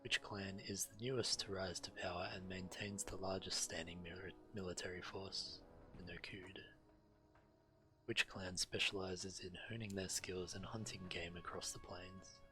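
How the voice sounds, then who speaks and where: A man's voice: sits low, little pitch movement, normal speed, sharp tone, low-quality recording. A man streaming a game, reading quest text aloud and sometimes stumbling over the words. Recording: game music behind the voice. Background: video game music.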